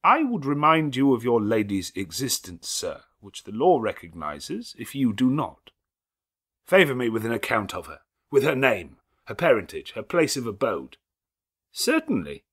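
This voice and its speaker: A man's voice: medium pitch, wildly swooping pitch, normal speed, fairly bright tone, slightly rough, pitched above the performer's own voice, full character voice. A man voicing a formal middle-aged male solicitor with a nasal, precise, official way of reading out papers.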